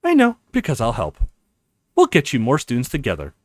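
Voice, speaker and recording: An earnest young college man read by a male let's player, reassuring and confident. His pitch moves up and down a great deal, in a dry, close recording of visual novel dialogue. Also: huge pitch swings, brisk, darkish tone, no echo.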